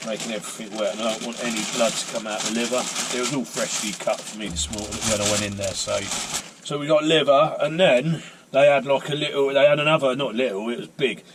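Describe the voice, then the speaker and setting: A man's voice: mid-range voice, quick pace, fairly bright tone, a little hiss or hum. A man, hosting a casual vlog and chatting in a rambling, self-mocking way. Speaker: vlogger. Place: outdoors and in a car on a rainy day.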